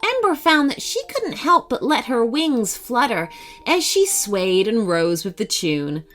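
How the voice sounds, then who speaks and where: A woman narrating a scripted children's bedtime story in an expressive storyteller's manner. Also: on the high side, unhurried. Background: faint music.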